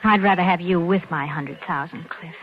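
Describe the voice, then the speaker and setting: A woman's voice: fairly low pitch, normal speed, lightly acted. An American actress playing a worldly, wry young woman with few scruples in a 1940s radio crime drama. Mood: intimate, knowing. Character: worldly, unscrupulous, wry young girlfriend of a schemer. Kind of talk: 1940s radio noir acting. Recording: dull vintage radio recording.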